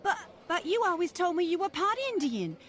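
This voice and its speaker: Actress for genteel lady, female, high and animated, prim, protesting, old-time radio drama. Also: brisk. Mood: shocked, flustered.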